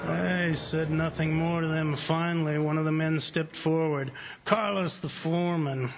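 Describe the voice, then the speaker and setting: A man playing a proud, stubborn plantation owner in a radio drama, calm and declamatory with total self-assurance. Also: fairly high pitch, measured pace. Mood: self-assured. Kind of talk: radio drama acting and first-person narration. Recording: radio drama with music underneath.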